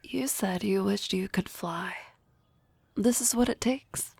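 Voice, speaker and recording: A woman's voice: mid-range voice, slow, vocal fry, breathy edge, dry recording, exaggerated voice. A woman playing a teasing young harpy girl. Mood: playful. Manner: soft, often whispered, teasing. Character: energetic young female harpy classmate, teasing. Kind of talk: ASMR roleplay.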